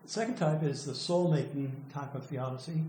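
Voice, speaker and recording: An older man giving an academic lecture, his voice going hoarse from overuse, speaking thoughtfully with halting restarts. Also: fairly high pitch, dark tone. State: losing his voice, clearing throat. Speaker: professor presenting a student's work. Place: lecture room. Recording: echoey room with faint noise.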